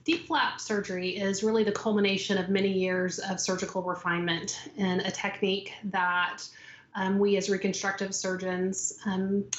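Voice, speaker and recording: Lady surgeon explaining stuff on a video call, kinda monotone, roomy dull sound. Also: mid-range voice, normal speed. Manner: explanatory, with frequent ums. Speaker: plastic surgeon, interview guest. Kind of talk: remote interview answers. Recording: echoey, muffled remote audio.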